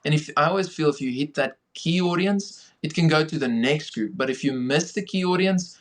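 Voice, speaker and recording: A man's voice: fairly high pitch, normal speed. A man with a South African accent giving conversational interview answers as a filmmaker guest on a podcast.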